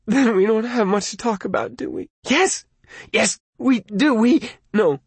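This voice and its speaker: A man's voice: high voice, measured pace, husky, dry recording, voice pushed up, exaggerated voice. A man voicing a tearful pleading teenage girl. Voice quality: wavering, tearful.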